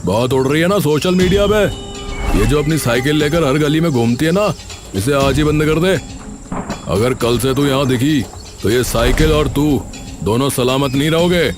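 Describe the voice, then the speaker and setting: An actor playing a menacing, hot-tempered village thug in a cartoon, threatening and rough-spoken. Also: male voice, mid-range voice, moderate pace, over music, close to an everyday voice.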